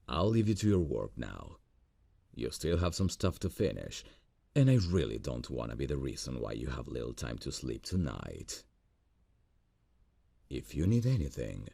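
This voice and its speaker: A man playing a gravelly lovesick boss. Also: very animated, no echo, exaggerated voice. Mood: tender. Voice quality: deep gravelly voice. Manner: soft, intimate, slow and earnest. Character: lovesick male boss, gentle and earnest. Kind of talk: ASMR roleplay audio.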